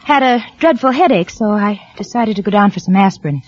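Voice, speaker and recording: An actress playing a secretive wife who answers defensively and insistently in a 1940s radio drama. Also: female voice, medium pitch, expressive, a little fast, close to an everyday voice. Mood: guarded. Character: evasive, secretive young wife. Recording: lo-fi vintage radio broadcast.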